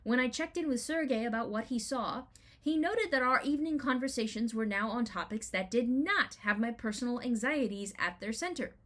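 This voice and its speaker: Woman giving an expressive personal essay reading. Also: fairly high pitch. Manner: expressive, deliberate storytelling with emphatic rhetorical questions. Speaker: writer reading own blog essay.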